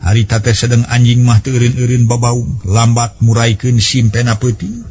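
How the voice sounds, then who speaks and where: A man's voice: medium pitch, moderate pace, a little mellow, breathy edge. A man with a West Javanese accent telling a story in dramatic radio style, recorded on old cassette. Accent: Sundanese-language West Javanese.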